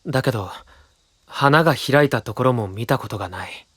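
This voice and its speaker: Husky, sincere young knight. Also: male voice, mid-range voice, animated, moderate pace, no echo, studio quality. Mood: calm. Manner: gentle, earnest, plain-spoken. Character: sincere, soft-spoken, patient young knight leader. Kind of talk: anime audio drama acting.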